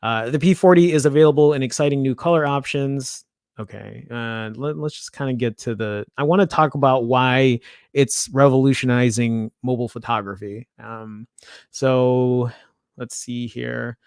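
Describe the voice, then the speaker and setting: A man with a Chicago accent hosts a loose tech news show. He reads the article aloud, then drifts into offhand asides and trips over words now and then. His voice sits at an ordinary middle pitch, recorded close and dry with no room sound. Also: fairly even intonation, moderate pace, clear, resonant tone, no echo. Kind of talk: casual tech news commentary.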